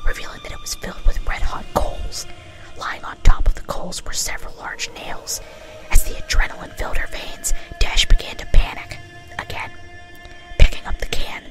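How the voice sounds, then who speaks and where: A woman's voice: very high-pitched, poor audio. A woman reading a story aloud in whispered ASMR style, sometimes stumbling and muttering to herself. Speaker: amateur story reader.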